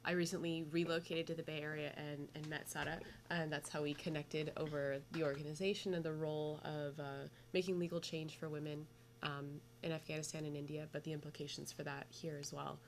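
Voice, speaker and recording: A woman's voice: sits low, little pitch movement, a little fast, creaky, slight background noise. A woman from California speaking as an interview guest about her work for a nonprofit. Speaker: nonprofit operations staff.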